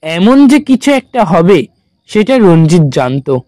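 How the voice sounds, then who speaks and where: A man narrating a scripted horror story in a measured, dramatic storytelling manner. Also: high voice, big pitch swings, warm tone, dry recording.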